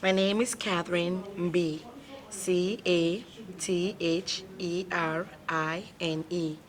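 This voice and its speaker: A woman's voice: fairly low pitch, steady tone, slow pace. A young woman from Cameroon, a nursing student, answering interview questions in a plain, matter-of-fact way.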